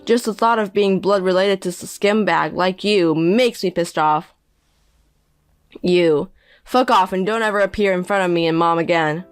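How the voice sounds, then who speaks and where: A woman's voice: on the low side, moderate pace, smooth, no room sound, soft background music, lightly acted. A woman voicing a young grown son who speaks curtly and coldly with contempt. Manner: cold, curt, contemptuous.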